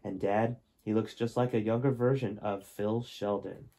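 A man's voice: on the low side, fairly even intonation, moderate pace, dark tone, pitched below the performer's own voice. A young man reading aloud as an adult son, a historian explaining himself earnestly in a storybook voice. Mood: earnest confession. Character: adult son from alternate timeline, historian, confessional. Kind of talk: amateur audiobook-style reading.

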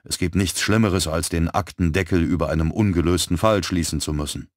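A man voicing a measured, dry male police detective. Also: sits low, no echo, natural voice. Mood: calm.

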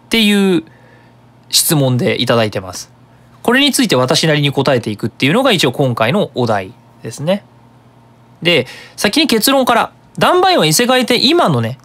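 Animated, higher-pitched man hosting radio. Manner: chatty, colloquial, explanatory. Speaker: radio show host. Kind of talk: casual solo web radio talk.

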